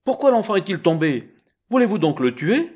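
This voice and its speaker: A man's voice: high voice, very animated, moderate pace, darkish tone, slightly rough, voice pushed up, heavily acted. A man voicing a pair of attendant women who speak in an anxious, reproachful manner. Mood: alarmed. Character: two gossiping attendant women, fearful.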